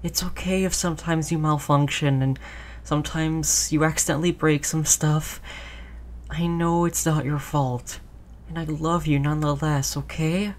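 A woman playing a gentle human who soothes their android companion in an intimate roleplay audio drama, tender and reassuring. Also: sits low, steady tone, moderate pace, close to an everyday voice. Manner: soothing, tender, hushed, repeating reassurances softly.

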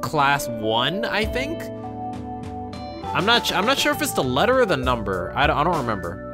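Animated man commenting on a game. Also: a little high, moderate pace. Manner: informal, reacting aloud to the story. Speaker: let's player. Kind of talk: casual gameplay commentary mixed with reading story text aloud. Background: game music.

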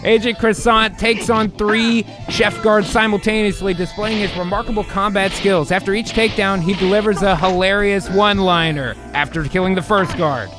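A man's voice: high voice, fairly even intonation, moderate pace, few pauses, music in the background. A man hosting and narrating a radio-play style show, mixing narration with casual banter. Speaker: host and narrator. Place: indoor home streaming room.

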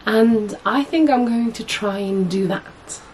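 A young woman with a husky voice, hoarse from a cold, chatting to camera as a sewing vlogger in a small room at home, rambling and correcting herself often. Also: fairly high pitch, takes it slowly, darkish tone, a little hiss or hum. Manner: chatty, rambling, many fillers and self-corrections. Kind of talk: casual chat to camera.

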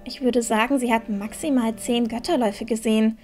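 Woman as young heroine, high voice, caring, resolute, fantasy audio drama, faint music. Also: steady tone, a little fast, a little mellow, natural voice. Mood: concerned, soothing. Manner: earnest, bossy yet tender.

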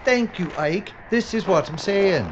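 A man playing a flippant, complaining documentary presenter in an audio drama, animated in manner. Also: high-pitched, measured pace. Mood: grumbling. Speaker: actor in radio drama. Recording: muffled, noisy ambience.

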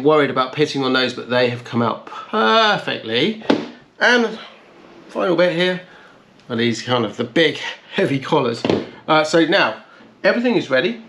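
A man's voice: a little high, gravelly, background noise. Man explaining a restoration job, casually. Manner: hands-on, step-by-step explaining. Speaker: host of a car restoration channel. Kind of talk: casual explanatory vlog to camera. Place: bright plant-filled conservatory at a table.

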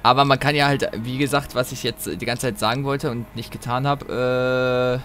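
A man's voice: medium pitch, fairly even intonation, a bit bright. Man rambling through gaming commentary, music behind. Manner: rambling, halting, breaks off mid-thought to react. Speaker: let's play host. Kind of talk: casual gaming commentary, thinking aloud.

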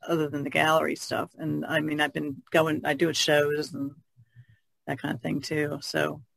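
A woman's voice: low voice, completely flat delivery, moderate pace, vocal fry, dry recording. A woman who paints, chatting casually as a guest on a podcast. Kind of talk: casual chat.

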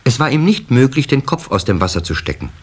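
A man narrating a children's radio play as an expressive storyteller. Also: medium pitch, quick pace, husky.

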